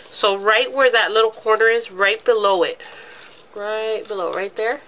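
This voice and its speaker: A woman with a head cold talks through a home craft tutorial, her congested voice still bright and clear in tone. She rambles casually with frequent ums as she works with her hands. A faint hiss sits under the home recording. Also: medium pitch, fairly even intonation, a little slow, rough recording. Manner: chatty, lots of ums, talks while working with her hands. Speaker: craft video host.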